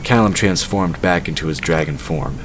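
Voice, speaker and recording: A man's voice: very deep voice, moderate pace. A man narrating a scripted fantasy story for an audio drama. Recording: clearly noisy background. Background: ambient sound effects.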